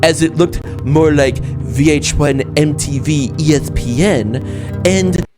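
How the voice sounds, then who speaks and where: A man's voice: fairly high pitch, slow pace. A man giving a theatrical, pompous dramatic reading of a written statement. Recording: played-back video with loud music. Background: music.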